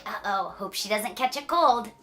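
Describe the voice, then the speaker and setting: A woman reading a children's story aloud with playful asides and dramatic, drawn-out emphasis. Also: mid-range voice, lively intonation, pushed and bright, soft background music. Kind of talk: animated read-aloud storytelling.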